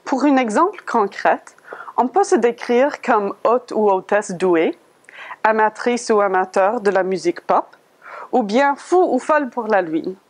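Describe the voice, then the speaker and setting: A woman with a fairly high voice narrates a virtual museum tour. She reads a prepared script and poses questions to her listeners.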